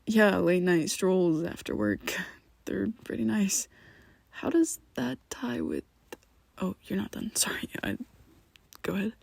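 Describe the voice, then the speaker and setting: A woman's voice: medium pitch, sing-song, slow pace, vocal fry, long pauses, darkish tone, breathy edge, dry recording, heavily acted. A woman voicing a young male vampire best friend in an ASMR roleplay, who talks hesitantly and half-whispers with nervous stammers. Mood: evasive.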